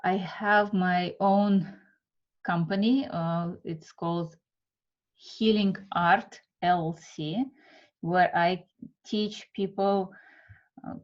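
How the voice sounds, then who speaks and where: A woman from Siberia with a Russian accent, introducing an art class in a halting, unhurried way with many fillers. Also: lowish voice, dull audio. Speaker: art instructor.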